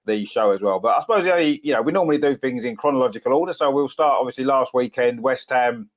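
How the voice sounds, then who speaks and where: A man with a cold, sounding croaky as he hosts a casual football chat show, full of "of course" and tag questions. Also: medium pitch, quick pace. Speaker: podcast host.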